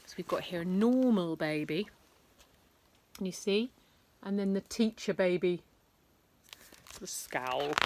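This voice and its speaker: A woman reading a bedtime story aloud to children, with lively, dramatic emphasis and chatty asides. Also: medium pitch.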